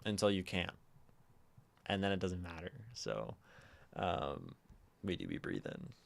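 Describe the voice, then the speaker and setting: A man with a naturally low voice, hosting a livestream and musing softly and aimlessly with many fillers. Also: sing-song, unhurried, creaky. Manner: soft, meandering, lots of fillers; prefers talking quietly and a little higher. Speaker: livestream host. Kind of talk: casual livestream chat.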